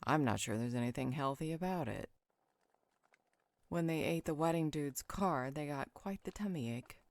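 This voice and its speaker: A man acts out a small young creature with a voice lifted far above his own. The delivery is quick, chatty and cheeky, in a closely recorded roleplay audio. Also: on the high side, dry recording, pitched above the performer's own voice, close to an everyday voice.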